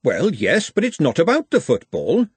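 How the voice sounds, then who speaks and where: A man's voice: a little high, huge pitch swings, normal speed, darkish tone, slightly rough, dry recording, voice pushed up, exaggerated voice. A man voicing a forthright, motherly young working woman in a storybook reading. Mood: indignant. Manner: forthright, earnest.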